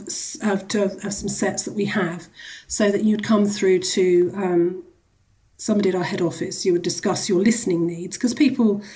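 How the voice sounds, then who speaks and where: A woman's voice: on the low side, mellow. A woman speaking as a charity executive in a radio interview, explaining the work in a conversational, wordy way with frequent asides.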